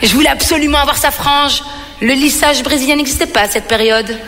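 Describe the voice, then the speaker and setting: Bright-voiced, high-pitched woman doing stand-up. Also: brisk. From Belgium. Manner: animated storytelling with direct questions to the audience. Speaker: stand-up comedian. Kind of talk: stand-up comedy monologue. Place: reverberant venue on stage. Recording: hall reverb with music behind.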